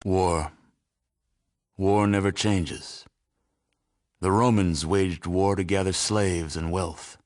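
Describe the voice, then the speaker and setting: A deep-voiced game narrator, a fatalistic teller of a ruined world. He speaks in solemn, measured phrases. The tone is grave and ominous throughout. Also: fairly even intonation, darkish tone.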